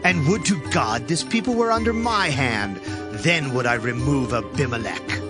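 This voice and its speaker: An actor playing a boastful, defiant rebel leader in a dramatized Bible reading, declaiming over music. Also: male voice, medium pitch, animated, moderate pace, lightly acted. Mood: defiant, scornful. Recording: music behind the voice.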